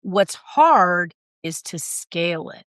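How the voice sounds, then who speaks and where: Woman, hoarse, raspy, low voice, emphatic, dry close sound. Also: lively intonation, a bit bright, no echo. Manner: brisk, emphatic, acts out quoted voices. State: hoarse, recovering from illness. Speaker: guest, sales consultant and author. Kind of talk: conversational interview.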